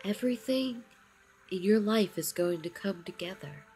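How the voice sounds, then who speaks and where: A woman narrating a scripted guided meditation in a soothing, encouraging manner, with short phrases and long pauses. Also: mid-range voice, expressive, dark tone, rough recording. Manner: encouraging affirmations. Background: background music.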